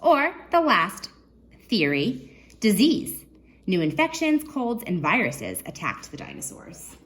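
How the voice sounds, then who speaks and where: A woman children's librarian reading a nonfiction book aloud, expressively, pausing to put questions to young listeners. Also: mid-range voice, huge pitch swings, a bit bright, a little hiss or hum.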